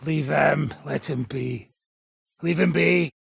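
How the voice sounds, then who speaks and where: A man playing a gruff, foul-mouthed, menacing mercenary in a video game, with a gravelly, raspy voice and a coarse, swaggering, sardonic manner. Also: fairly high pitch, takes it slowly, no room sound, studio quality, heavily acted. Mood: gruff, grudging. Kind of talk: video game character acting.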